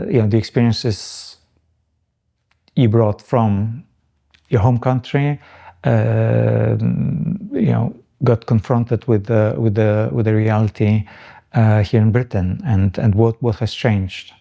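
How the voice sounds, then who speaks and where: A man's voice: on the low side, fairly even intonation, takes it slowly, creaky, warm tone, highs cut off. A man hosting a podcast interview, asking long questions hesitantly with many fillers and restarts. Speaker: podcast host. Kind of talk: casual interview chat.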